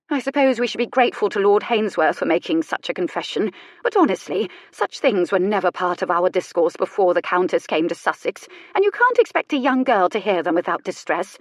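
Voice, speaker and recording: A woman's voice: lowish voice, no room sound. A woman voicing a prim society matron in an audiobook romance, discreet and confiding as she defers to the vicar.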